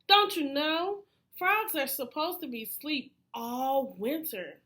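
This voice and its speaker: Woman as a bright-voiced, chiding mother frog. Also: sits high, lively intonation, takes it slowly, exaggerated voice. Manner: calling out, chiding with questions. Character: nagging, motherly adult female frog.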